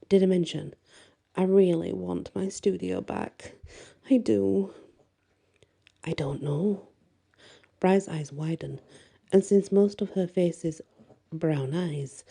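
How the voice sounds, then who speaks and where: Woman, very dark mellow tone, slightly creaky, soft, expressive bedtime storytelling. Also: lowish voice. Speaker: audiobook reader and storyteller. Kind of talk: expressive first-person bedtime story reading.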